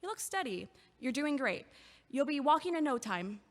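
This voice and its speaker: An actress playing a friendly, chatty neighbor who is a physical therapist, talking in a warm, easygoing way in an audio drama. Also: female voice, high-pitched, sing-song, normal speed, big-room sound.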